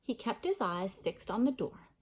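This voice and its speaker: A woman reading a children's novel aloud in an expressive storytelling style. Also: medium pitch, normal speed, breathy edge. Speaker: storybook reader.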